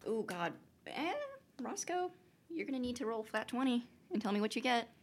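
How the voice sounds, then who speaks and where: A woman's voice: a little high, lively intonation, moderate pace. A young woman running a tabletop game as game master, chatty and laughing often, with lots of fillers.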